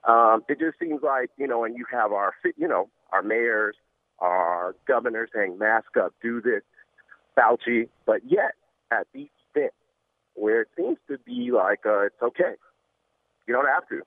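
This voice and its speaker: A man phoning a radio show, giving his opinion casually in halting, circling phrases. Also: medium pitch, animated, dry recording. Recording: muffled narrow phone line.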